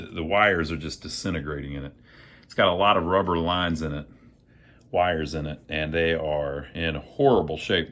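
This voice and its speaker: Low-voiced man talking through a repair. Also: fairly bright tone. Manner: folksy, thinking aloud as he works. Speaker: host of an amateur radio repair channel. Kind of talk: casual hands-on repair tutorial narration.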